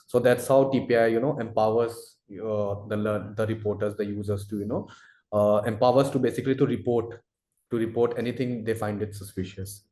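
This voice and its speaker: A man answering audience questions as a product expert in a live webinar, speaking haltingly and repeating himself as he explains. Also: on the low side, steady tone, dark tone. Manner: halting, repetitive, hedging delivery. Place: indoor room, webinar call. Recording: roomy, echoey sound.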